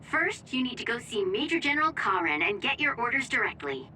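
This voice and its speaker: A woman's voice: on the high side, very animated, brisk, pushed and bright, a little hiss or hum. An actress playing a friendly, perky young woman who gives mission briefings as an operator in a video game. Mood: upbeat. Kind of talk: English video game voice acting.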